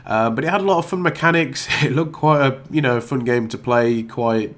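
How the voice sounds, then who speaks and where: A man's voice: medium pitch, moderate pace, a bit bright. A man with a blocked nose from a cold, hosting a casual gaming vlog, rambling and hesitant with many ums and restarts. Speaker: YouTube gaming channel host.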